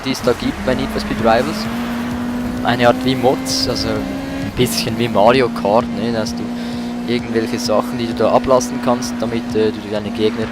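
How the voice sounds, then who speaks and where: A man giving casual, colloquial live commentary as a let's player, reacting aloud to the game with short exclamations. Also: medium pitch. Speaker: let's player hosting a gameplay video. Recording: loud game music and car engines behind. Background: racing game music and engine noise.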